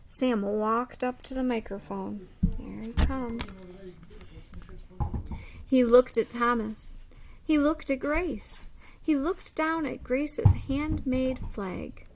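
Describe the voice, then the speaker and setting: A woman with a fairly high voice that often turns creaky reads a storybook to children as a teacher would. She leans on key words and pauses for drama, over faint background noise. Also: low-quality recording. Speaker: teacher reading a picture book aloud to children. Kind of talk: animated storybook read-aloud with simple asides to young children.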